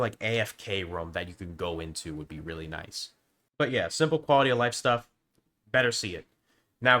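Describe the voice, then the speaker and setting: A man with a sore throat, sounding hoarse as he gives rambling, casual opinions on a video game, often restarting his sentences. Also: mid-range voice, bright, forceful tone. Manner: rambling with frequent restarts and stammers. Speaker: gaming youtuber. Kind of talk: casual opinion commentary to camera.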